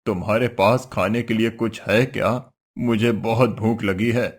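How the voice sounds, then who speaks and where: A man's voice: on the low side, steady tone, normal speed, close to an everyday voice. A man voicing a hungry, weary old wandering holy man in a cartoon story, benevolent and pleading. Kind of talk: Hindi cartoon story voice acting.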